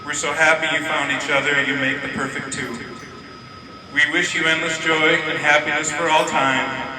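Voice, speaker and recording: A man's voice: fairly high pitch, level tone, moderate pace, background noise. A man giving a jokey, rambling wedding toast that ends in a rhyming verse. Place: reception hall. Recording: echoey hall from far off, crowd.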